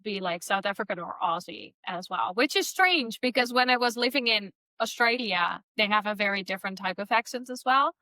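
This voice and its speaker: A woman's voice: mid-range voice, animated, brisk, few pauses, sharp tone, no room sound, poor audio. A woman hosting a podcast, asking interview questions in a friendly way and often backing the guest with "yeah". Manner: friendly, frequent "yeah" backchannels. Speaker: podcast host.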